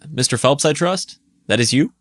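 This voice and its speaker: A man's voice: fairly low pitch, moderate pace, dry recording, studio quality, natural voice. A man voicing a humorless, foreign-accented male informant who speaks stiffly and formally. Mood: probing.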